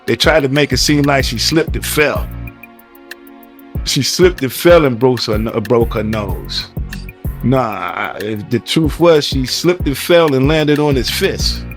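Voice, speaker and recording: A man's voice: medium pitch, unhurried. A man giving blunt, casual commentary while reacting to a video, repeating himself as he talks. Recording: video music playing behind.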